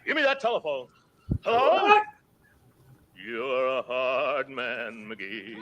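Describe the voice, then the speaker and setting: A man's voice: medium pitch, very animated, takes it slowly, highs cut off. An actor playing a pompous middle-aged man in 1940s radio comedy, blustering and wheedling with nervous chuckles. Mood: indignant. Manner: nervous chuckles and flustered hesitations. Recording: noisy old radio comedy broadcast.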